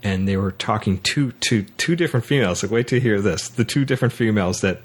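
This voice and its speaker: Male podcast host, low register, informal discussion style, halting and self-correcting. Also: breathy edge. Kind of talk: casual film and book discussion.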